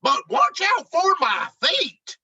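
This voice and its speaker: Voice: extremely high pitch, wildly swooping pitch, slow pace, pushed and bright, muffled recording, pitched above the performer's own voice, full character voice. A woman voicing a bossy, easily panicked male moose foreman in a children's storybook, with dramatic, commanding exclamations. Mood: bossy, impatient.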